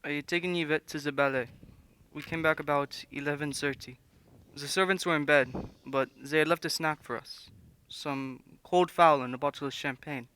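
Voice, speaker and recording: A man's voice: on the high side, fairly even intonation, normal speed, bright, forceful tone, smooth. A man playing a courteous French duke giving testimony in a radio play. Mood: earnest, recounting.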